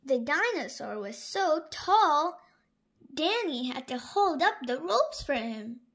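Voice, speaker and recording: A woman reading a picture book aloud to young children in an animated, emphatic way. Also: sits high. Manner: slow, animated, with exaggerated emphasis for small children. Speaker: storybook reader for young children. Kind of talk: expressive read-aloud narration of a picture book.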